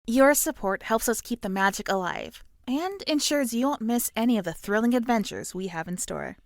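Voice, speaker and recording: Female podcast intro reader, slightly high and creaky, dry close sound. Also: animated, moderate pace, dry recording. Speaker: voice actor introducing the episode.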